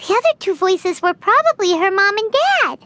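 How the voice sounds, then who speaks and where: Tiny squeaky fairy, extremely high. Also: female voice, very animated, moderate pace, pushed and bright, slight background noise, heavily acted. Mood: wry. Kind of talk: video game character acting.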